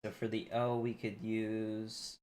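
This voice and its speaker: Low-voiced man streaming a game casually. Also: monotone, takes it slowly, darkish tone. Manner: informal, thinking aloud while playing. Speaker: livestreamer, game player and channel host. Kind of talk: casual livestream gameplay commentary. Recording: faint noise, keyboard typing.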